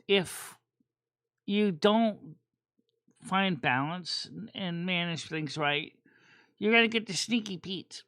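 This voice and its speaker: Man, high-pitched voice, host of a casual chat stream, rambling, halting, dry close sound. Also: pushed and bright, no echo. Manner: rambling and halting, with many fillers and restarts. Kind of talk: casual livestream chat about tarot cards.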